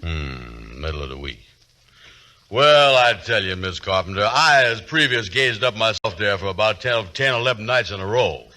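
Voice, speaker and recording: A man acting a slow-witted, boastful, lovestruck fellow with folksy, ungrammatical speech in 1940s radio comedy. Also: lowish voice, expressive, sharp tone. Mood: hopeful, deflated. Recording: 1940s radio broadcast recording.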